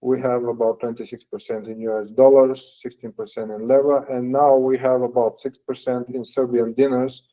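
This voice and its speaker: A man presenting financial results as a company executive on an earnings call, in a halting, hesitant delivery with frequent pauses. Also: mid-range voice, flat delivery, dark tone. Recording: dull, lo-fi call audio.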